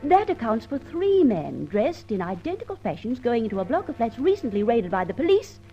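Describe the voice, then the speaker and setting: Radio mystery: bantering, indignant British woman sleuth, highish lively voice, on a dull vintage recording. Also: a little fast, faint music behind. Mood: intrigued, triumphant. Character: eager, observant young lady accomplice, easily exasperated. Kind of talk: old-time radio detective drama acting.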